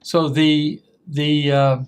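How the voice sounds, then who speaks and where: A man hosting a TV interview show, asking questions in a halting way and often repeating his words. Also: a little high, monotone, clear, resonant tone. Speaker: host.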